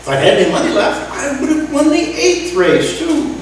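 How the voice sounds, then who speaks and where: A woman playing an excitable, penniless music maestro with a gambling habit, theatrical and wisecracking in an old-time radio sitcom. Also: high voice, normal speed. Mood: eager. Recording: echoey, distant stage recording, faint noise.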